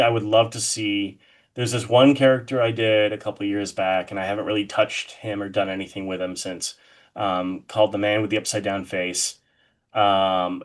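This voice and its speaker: A man's voice: on the low side, a bit bright. A man speaking casually and enthusiastically as a guest in a conversational interview. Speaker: interview guest, horror artist.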